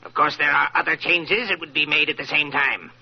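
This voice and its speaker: An actor playing a cantankerous, demanding rich employer in an old-time radio drama, curt and threatening, with a husky, gravelly voice. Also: male voice, on the high side, fairly even intonation, brisk, a little hiss or hum. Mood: stern, menacing. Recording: dull vintage radio recording.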